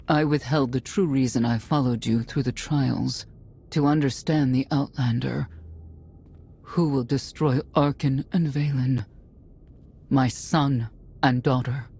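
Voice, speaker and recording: Husky, grave older mother. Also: very deep voice, steady tone, mellow, heavily acted. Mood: grave confession. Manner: measured, solemn.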